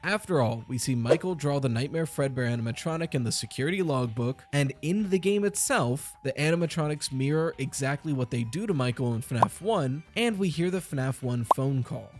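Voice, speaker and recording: A man's voice: medium pitch, expressive, brisk, few pauses, soft background music. A man hosting a casual commentary on video game lore, chatty and nitpicky as he picks apart details.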